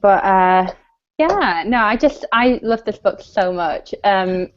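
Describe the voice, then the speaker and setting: A woman's voice: medium pitch, expressive, takes it slowly. A woman hosting a casual book chat, talking in a conversational, hesitant way with plenty of filler phrases. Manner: hesitant, conversational, many "like" and "kind of". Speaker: live show host discussing books. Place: video call live stream. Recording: dull lo-fi online call audio.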